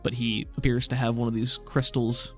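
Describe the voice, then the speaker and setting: A man's voice: medium pitch, flat delivery, moderate pace, soft background music. A man acting as game master, narrating an improvised tabletop game in a conversational, descriptive way.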